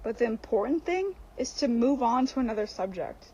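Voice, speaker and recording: A woman playing a sly, bossy seductress spirit in a radio horror drama, coaxing her friend with drawn-out, emphatic words. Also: a little high, lively intonation, dark tone, husky, lo-fi sound.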